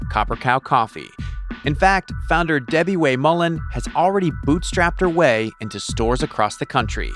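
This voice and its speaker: A man hosting a podcast, reading scripted narration in a lively, playful storytelling way. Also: mid-range voice.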